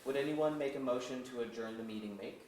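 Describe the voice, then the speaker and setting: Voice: medium pitch, fast, a little mellow, natural voice. A performer playing a stern, vengeful elf leader, declaiming formal archaic verse at a staged reading. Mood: formal, brisk. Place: museum auditorium. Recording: echoey auditorium, far off, faint noise.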